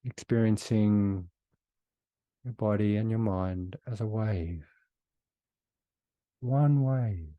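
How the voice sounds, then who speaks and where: A man leading a guided meditation in a soothing, unhurried way with long pauses between phrases. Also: lowish voice, warm tone, no room sound, muffled recording.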